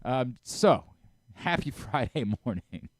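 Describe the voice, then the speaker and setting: A man hosting a casual sports talk radio show, telling stories in an animated way and acting out exchanges. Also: medium pitch, takes it slowly, no room sound, clean studio sound. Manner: animated storyteller who re-enacts exchanges. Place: radio studio.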